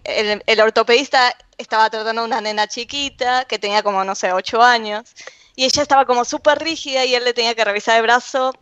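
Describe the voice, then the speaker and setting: A woman's voice: a little high, no room sound, muffled recording. A woman from Argentina with an Argentine accent, a medical interpreter chatting casually and telling stories with acted-out voices. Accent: Argentine Spanish. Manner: animated anecdote telling, acts out voices. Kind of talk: casual chat, storytelling.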